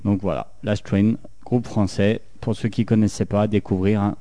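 A man's voice: on the low side, little pitch movement, moderate pace, mellow. A man hosting a radio music show, asking chatty, enthusiastic questions that he sometimes stumbles over. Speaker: radio host. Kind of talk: casual radio interview.

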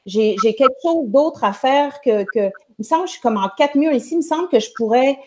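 A woman in her forties with a Québécois French accent, speaking in a somewhat high voice. She tells a long personal story in a chatty, rambling way, full of restarts. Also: expressive, a little fast, a little mellow, slight background noise. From Quebec. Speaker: guest, music teacher. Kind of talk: casual storytelling on a live show.

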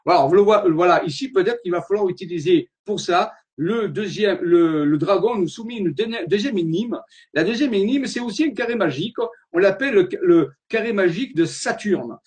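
A man's voice: high-pitched, lively intonation, a little fast, a little mellow. A man giving an earnest slide-show lecture, wandering from point to point and often repeating his words as he searches for them.

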